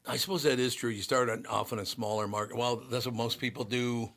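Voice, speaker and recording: A man in his sixties from Minneapolis, hosting a casual talk show. He has a gruff, slightly harsh voice and tells long, rambling stories full of restarts. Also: medium pitch, fairly even intonation, a little fast, a bit bright. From Minneapolis, USA. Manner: rambling, storytelling, frequent restarts. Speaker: show host. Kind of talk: casual chat.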